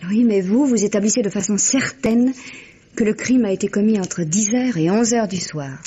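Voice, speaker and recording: An actress playing a refined, emotional widow in a vintage French radio mystery, with genteel, expressive theatrical delivery. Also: female voice, mid-range voice, moderate pace, husky, natural voice. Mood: intrigued, uneasy. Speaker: actor. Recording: muffled old radio drama recording.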